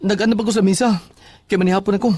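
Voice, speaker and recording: A woman's voice: mid-range voice, steady tone, racing, darkish tone, a little hiss or hum, natural voice. A woman playing a protective, questioning sweetheart in an audio drama. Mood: concerned.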